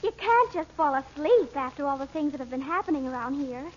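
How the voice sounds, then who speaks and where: An actress playing a chatty, curious teenage daughter in an old radio drama, with a breezy, innocent manner. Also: female voice, high-pitched, animated, fairly quick. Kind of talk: 1940s radio drama acting. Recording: muffled old radio recording.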